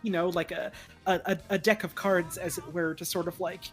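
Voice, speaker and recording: A mid-pitched voice in casual, rambling stream chat. The speech is halting and often acts out quoted thoughts, with music playing behind it. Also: level tone. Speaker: fandub voice performer on a livestream. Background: background music.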